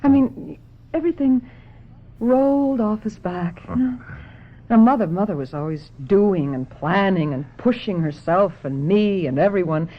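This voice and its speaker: Slow, anguished woman in old radio drama. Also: medium pitch, animated. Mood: wistful. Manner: halting, breathy lapses into whisper, melodramatic. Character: distraught, guilt-ridden suicidal woman, fragile and dazed. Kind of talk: old-time radio mystery acting. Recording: dull lo-fi old radio recording, music behind.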